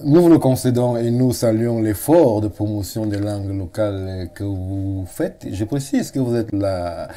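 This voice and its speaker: A man hosting a TV studio interview, speaking in formal, elaborate phrases with filler pauses. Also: on the low side, mellow. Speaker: TV talk show host. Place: TV studio set.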